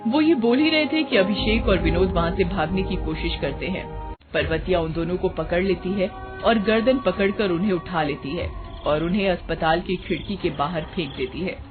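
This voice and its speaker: Casting: storyteller for scripted horror tales, mid-range pitch, thin phone-like audio with music underneath. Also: normal speed. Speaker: narrator. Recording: tinny phone-like sound with music behind.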